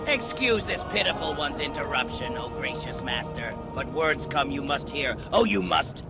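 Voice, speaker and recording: A pitiful, servile creature from a fantasy game. The voice is high and gravelly and swings wildly as it fawns and pleads with its master. Loud music plays underneath. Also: male voice, lively intonation, moderate pace. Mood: fawning, urgent. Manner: obsequious, pleading. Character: cringing, pitiful, fawning minion creature. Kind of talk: fantasy game cutscene acting.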